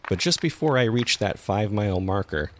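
A man's voice: lowish voice, expressive, brisk, a little hiss or hum. A man hosting and narrating a podcast, reading from a script.

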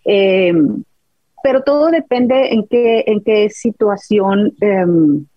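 Husky hesitant woman from rural mexico, dry dull lo-fi sound, lots of "este". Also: medium pitch, slow, dry recording. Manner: hesitant, searching for words, many fillers. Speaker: health coach guest. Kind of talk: casual chat.

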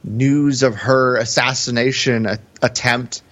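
A man's voice: medium pitch, steady tone, unhurried, a bit bright. A man co-hosting a podcast, explaining things in a hesitant, conversational way during casual film analysis. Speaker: podcast co-host. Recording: slightly dull, lo-fi audio.

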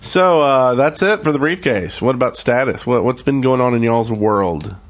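A man's voice: medium pitch, normal speed. A man, chatting casually as co-host of a gaming podcast.

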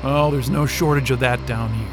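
A man's voice: medium pitch, steady tone, normal speed, music in the background, close to an everyday voice. An actor playing an exasperated, foul-mouthed male astrophysicist in an audio drama, irritable and sarcastic. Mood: weary, grumbling.

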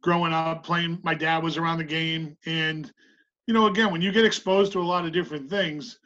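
A woman's voice: low voice, fairly even intonation, fairly quick. A middle-aged woman, a basketball coach from Massachusetts, talking thoughtfully as a podcast guest with frequent fillers and easy laughs. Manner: thoughtful, lots of "you know" fillers, warm laughs. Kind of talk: casual podcast conversation. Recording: dull lo-fi sound.

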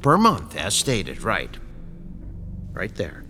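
Courteous, sinister landlord, swooping intonation. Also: male voice, deep voice, huge pitch swings, sharp tone, faint music behind, exaggerated voice. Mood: politely formal. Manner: formal, polite, measured, evasive. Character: courteous, faintly sinister male landlord of an apartment building. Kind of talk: modern audio drama acting.

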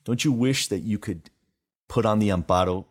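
A man with a fairly low voice works as an interviewer in a casual chat. He builds his questions tentatively, doubling back on words as he goes. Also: moderate pace.

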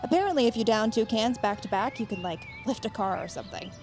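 A woman acting as an eager young woman in an audio drama, with a fairly high voice. She is chatty and a bit rambling, pushing her friends along, with music clearly behind her. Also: lively intonation, quick pace, close to an everyday voice. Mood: eager, persuasive. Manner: hesitant rambling turning to pushy pep talk. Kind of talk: naturalistic audio fiction acting.

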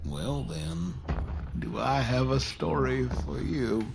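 An actor playing a sinister, gleeful horror host with a gravelly voice, savoring his words in theatrical narration with menacing courtesy. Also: medium pitch, very animated, takes it slowly, noisy background, heavily acted. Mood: gleeful menace. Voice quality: gravelly, creaky. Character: sinister, gleeful male horror tale host who relishes fear. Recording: loud music bed behind the voice.

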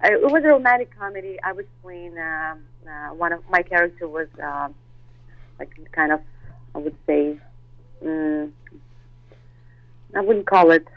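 A woman's voice: lowish voice, a little slow, long pauses, no room sound, narrow, tinny audio. A woman, a guest actress and model, talking hesitantly in an interview with many fillers and restarts. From Russia. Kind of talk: interview chat.

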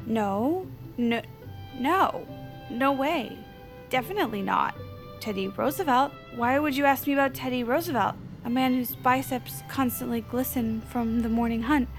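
An actress playing an eager, quirky society wife in an audio comedy, earnest and easily flustered. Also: female voice, a little high, normal speed, faint music behind, natural voice. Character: Trudy, eager, quirky, excitable society wife and loyal friend. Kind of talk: audio fiction comedy acting.

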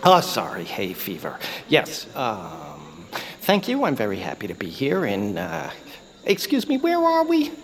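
A man's voice: medium pitch, huge pitch swings, a little slow, gravelly, a crowd in the background, exaggerated voice. An actor playing a fussy, washed-up male bird who once voiced a radio hero, talking in a rambling, flustered, theatrical way. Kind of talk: audio drama acting.

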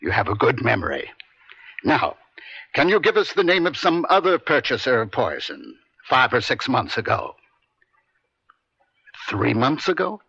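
A man acting a stern, pressing defense attorney in a radio courtroom drama, deliberate, pointed and domineering. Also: mid-range voice, big pitch swings, bright, forceful tone, slightly rough, no room sound, full character voice. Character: stern, pressing male defense attorney cross-examining a witness. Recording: dry, dull vintage radio broadcast.

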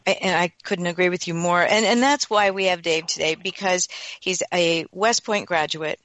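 A woman's voice: fairly low pitch, moderate pace, a bit bright, no echo. Earnest woman hosting a radio talk show.

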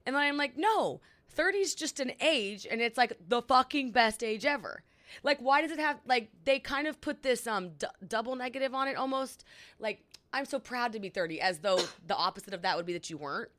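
Woman, 30s, podcast co-host, bright voice, chatty storyteller. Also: fairly high pitch, lively intonation, brisk. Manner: chatty storyteller, lots of fillers. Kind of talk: casual chat.

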